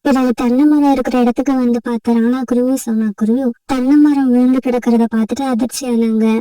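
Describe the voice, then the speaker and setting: A woman's voice: on the high side, steady tone, fast, mellow, no room sound, distant mic, poor audio. A woman narrating a scripted children's story as the storyteller.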